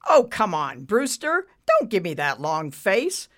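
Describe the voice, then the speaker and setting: An arrogant bank boss played by a woman barks out his lines with loud, demanding force. His pitch jumps around as he bullies and dismisses people, in the manner of an audiobook villain. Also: wildly swooping pitch, a little slow. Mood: smug, dismissive. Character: rude, bullying, arrogant male bank boss. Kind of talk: audiobook character voice.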